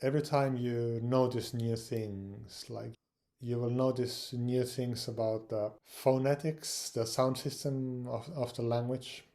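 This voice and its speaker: A man from Finland giving casual advice on language learning, speaking English with frequent pauses, fillers and repeated words. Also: medium pitch, fairly even intonation, warm tone. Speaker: language learning channel host.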